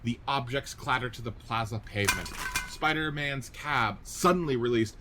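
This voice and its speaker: A man's voice: medium pitch, normal speed, very noisy. A man reading stage directions for a radio-play-style script. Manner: steady, clipped direction reading.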